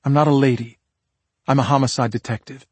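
A man's voice: mid-range voice, fairly even intonation, brisk, slightly rough, no echo, lightly acted. Man playing female homicide detective, crime audiobook, tough, probing, direct, dry studio sound. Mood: challenging. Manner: direct, challenging.

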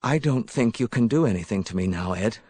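A man's voice: on the low side, lively intonation, a little fast, a little mellow, no room sound. A man voicing a frightened but defiant young woman in a story, firm and accusing as she confronts a killer. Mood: calm defiance.

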